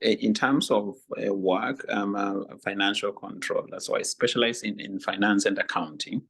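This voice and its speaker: A middle-aged man from Kenya, answering interview questions in a relaxed, explanatory way. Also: on the low side, normal speed, breathy edge. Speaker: podcast guest, runner.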